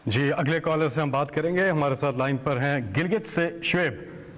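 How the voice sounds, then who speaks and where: A man's voice: on the high side, moderate pace. A man hosting a phone-in, introducing callers in a formal broadcast style. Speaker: phone-in host. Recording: echoey hall-like room.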